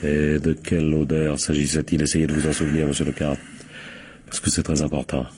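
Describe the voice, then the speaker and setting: Deep-voiced, probing police captain. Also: male voice, little pitch movement, fairly quick, a little mellow, slight background noise. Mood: probing, firm. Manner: probing, authoritative, sardonic. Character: dogged male American police captain, brisk and wry. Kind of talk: French radio crime drama acting.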